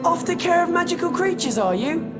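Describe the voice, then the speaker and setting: A man's voice: very high voice, animated, fairly quick. A young male actor playing a timid, helpful, awkward schoolboy wizard in a video game. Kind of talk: video game character acting. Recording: loud music behind the voice.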